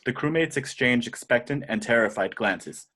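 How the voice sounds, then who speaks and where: A man's voice: mid-range voice, animated, normal speed, sharp tone. A man reading the action descriptions of a screenplay as scripted narration in an amateur table read. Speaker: reader of stage directions.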